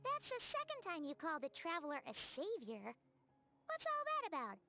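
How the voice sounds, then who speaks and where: Squeaky, excitable little fairy companion. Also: female voice, normal speed, faint music behind, exaggerated voice. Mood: curious. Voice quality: squeaky, childlike. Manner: exclamatory, refers to self in third person. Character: small floating fairy companion, childlike, excitable and nosy. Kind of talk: video game cartoon voice acting.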